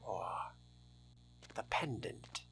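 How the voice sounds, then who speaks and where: A man's voice: very slow, fairly bright tone, whispered, poor audio. A man narrating a video game playthrough in character, with theatrical delivery and formal wording. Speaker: role-playing game streamer.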